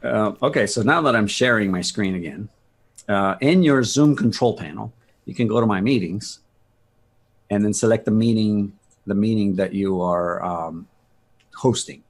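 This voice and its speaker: A man with a gravelly, husky voice giving a casual software walkthrough on a video call, thinking aloud hesitantly. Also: fairly low pitch, moderate pace. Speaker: guest demonstrating software.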